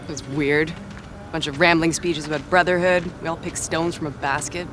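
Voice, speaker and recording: An actor playing a wry, easygoing soldier in a video game cutscene, talking casually and cynically. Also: male voice, on the high side, steady tone, moderate pace, music in the background, natural voice.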